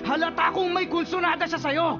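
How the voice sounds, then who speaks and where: A jealous husband barking accusations and orders, voiced by a woman in a radio play. The voice is very high. Loud dramatic music plays under a lo-fi broadcast sound. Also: steady tone, brisk. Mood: angry accusing. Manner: accusing, domineering. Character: jealous, controlling young husband.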